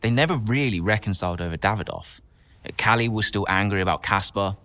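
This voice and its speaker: An actor playing a level-headed young racing driver, understated and matter-of-fact, in a game cutscene. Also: male voice, lowish voice, a little fast, sharp tone, a little hiss or hum. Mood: sober. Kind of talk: naturalistic video game cutscene acting.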